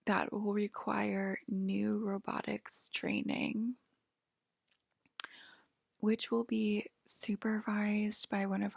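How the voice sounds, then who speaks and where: A woman performs a hushed ASMR roleplay in a breathy, whispery voice with very heavy creak. She speaks slowly and haltingly, soothing the listener like a patient clerk. The sound is close and dry. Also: medium pitch, dry recording.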